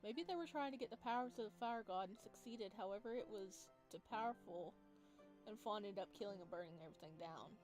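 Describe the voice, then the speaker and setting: A woman hosts a let's play with casual, off-the-cuff commentary on the story. Her voice sits at a middle pitch. Game music plays clearly behind her. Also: fast, darkish tone, rough recording.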